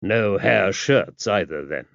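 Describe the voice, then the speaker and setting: A man voicing a mild, courteous priest in an audiobook, measured and wry. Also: deep voice, lively intonation, gravelly, a bit bright, dry recording, exaggerated voice. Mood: wry, probing. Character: mild, courteous male priest, a former spy, wryly inquisitive. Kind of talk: audiobook character dialogue. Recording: dull, muffled lo-fi audio.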